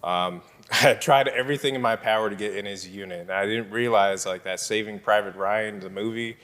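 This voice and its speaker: A man from Southern California, a guest answering interview questions in a halting way with many fillers. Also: fairly low pitch, a bit bright, in a large hall. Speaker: political candidate guest. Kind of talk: casual interview chat.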